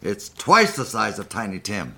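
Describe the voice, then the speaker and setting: A man's voice: on the low side, huge pitch swings, moderate pace, vocal fry, sharp tone, full character voice. A man playing a repentant old miser in a live radio play of a Victorian story, earnest, emphatic and theatrical. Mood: giddy delight. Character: repentant old miser, pleading, then giddily joyful.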